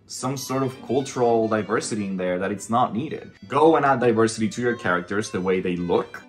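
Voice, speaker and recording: A man from the Dominican Republic whose first language is Spanish speaks in a low voice. He reviews films in a casual, emphatic, opinionated vlog style, with faint guitar music behind him. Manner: emphatic, conversational. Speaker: animator and film reviewer.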